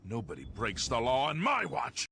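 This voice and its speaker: An actor playing a stern male city guard who barks gruff commands at a criminal. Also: medium pitch, huge pitch swings, moderate pace, pushed and bright, a little hiss or hum, heavily acted. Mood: commanding. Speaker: stream sound alert from a game.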